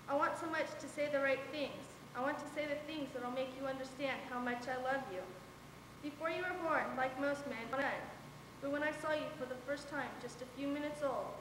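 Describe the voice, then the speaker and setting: A woman giving a dramatic stage reading as a readers theater performer. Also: lightly acted. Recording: echoey hall from afar, clear rumbling noise.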